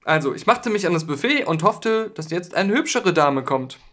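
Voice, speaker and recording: A man's voice: high voice, expressive, moderate pace, a bit bright. A man co-hosting a casual comedy podcast, joking and often laughing as he reads posts aloud. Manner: jokey, giggly, reads forum posts aloud. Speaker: podcast co-host.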